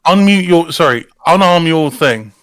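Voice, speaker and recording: A man's voice: a little high, lively intonation, normal speed, a bit bright, clean tone, no room sound, studio quality. A man in casual chat, talking someone through a technical fix, often stammering and repeating words. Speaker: live-stream participant giving technical help.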